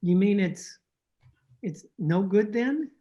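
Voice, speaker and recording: A woman playing an awkward, stuffy young male suitor, formal and halting, in old-time radio drama style, on muffled call audio. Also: medium pitch, expressive, dark tone. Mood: crestfallen, flustered. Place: at home, recorded over a video call.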